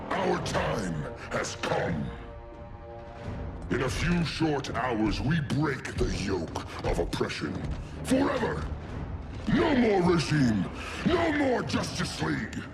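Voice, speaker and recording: A menacing gorilla warlord from a video game, grand and booming. The delivery is slow and swings wildly in pitch, with a heavy gravel in it. Loud dramatic music plays underneath. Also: male voice, wildly swooping pitch, full character voice. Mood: grandiose. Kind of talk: booming villain oratory in video game cutscene.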